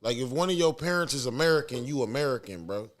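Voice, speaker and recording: A man's voice: medium pitch, animated, quick pace. A man co-hosting a podcast, joking around with short, slangy reactions. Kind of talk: casual chat.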